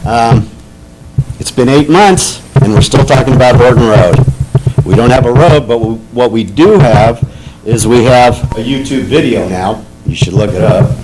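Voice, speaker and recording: A man's voice: mid-range voice, moderate pace, noisy background. A man speaking at a town council meeting as a resident, frustrated and increasingly insistent. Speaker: resident speaking at public comment.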